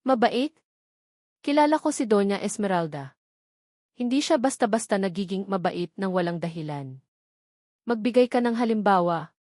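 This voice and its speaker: A woman's voice: medium pitch, animated, normal speed, long pauses, a little mellow, dry recording, studio quality, close to an everyday voice. A woman voicing a cold, suspicious professional man who questions formally in an audio story. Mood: skeptical, pressing. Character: cold, professional male lawyer, commanding and suspicious.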